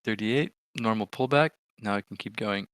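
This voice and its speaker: A man hosting a live trading stream, giving chatty, excitable commentary and thinking aloud as he reads his charts. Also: fairly low pitch, normal speed, clear, resonant tone, no room sound.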